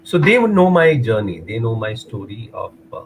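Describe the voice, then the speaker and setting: A man's voice: lowish voice, sing-song, normal speed, a little hiss or hum. A man in his forties from Mumbai with an Indian English accent, chatting as an interview guest over a video call, hesitant and full of fillers, slipping into Hindi. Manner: hesitant, many fillers, rambling. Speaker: guest, runner and motivator. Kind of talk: casual interview chat, mixing in Hindi.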